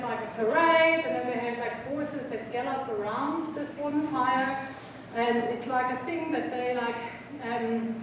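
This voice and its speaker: German woman teaching vets, high voice, lots of ums, far off in a big echoey lecture hall. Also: background noise. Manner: explains step by step with many ums and likes. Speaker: veterinary lecturer. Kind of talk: university lecture.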